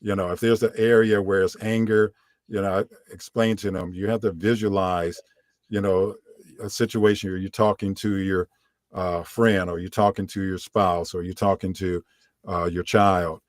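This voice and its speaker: A man with a deep, low voice talks casually about his work. He rambles and stops often to say "you know" and "um". He is recorded close to the mic in a dry room. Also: moderate pace, dry recording. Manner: relaxed, rambling, many fillers. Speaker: audiobook narrator and voiceover coach. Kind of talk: casual interview answers.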